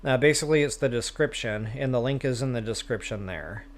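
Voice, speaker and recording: A man's voice: mid-range voice, a little fast. A man hosting a game livestream, chatting casually with viewers in a self-deprecating way. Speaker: live stream host, gamer.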